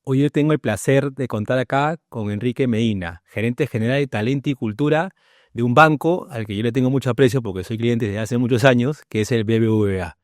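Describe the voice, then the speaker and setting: A male podcast host with a mid-pitched voice leads the interview. He circles around his questions and keeps checking in with "¿no?". The recording is close and dry. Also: fairly quick, no echo. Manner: rambling questions with many "¿no?" tags.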